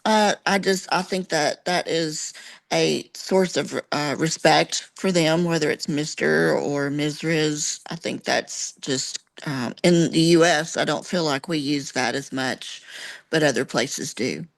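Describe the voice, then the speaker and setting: A soft-spoken American woman co-hosting a podcast, chatting gently and agreeably. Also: low-pitched, a little slow, creaky. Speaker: podcast co-host. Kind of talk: casual chat.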